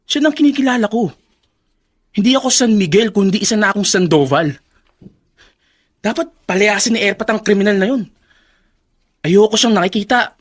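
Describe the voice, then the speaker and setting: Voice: mid-range voice, normal speed, long pauses, lightly acted. A young adult performer playing an anguished, resentful son in a Filipino radio drama, with emphatic, emotional delivery. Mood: bitter. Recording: music under the voice.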